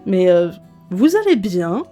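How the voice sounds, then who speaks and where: A woman's voice: fairly low pitch, very animated, takes it slowly, mellow, soft background music. A woman playing an eager, friendly travel companion in a comedic audio drama. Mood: cheerful.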